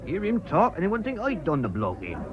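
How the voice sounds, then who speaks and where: Nosy blunt english working class chap, wry, swoopy voice, dull radio drama sound. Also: male voice, a little high, wildly swooping pitch, brisk, over music. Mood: grumbling. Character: cheeky, grumbling, nosy working-class man, blunt and morbid. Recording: dull radio recording with background hubbub.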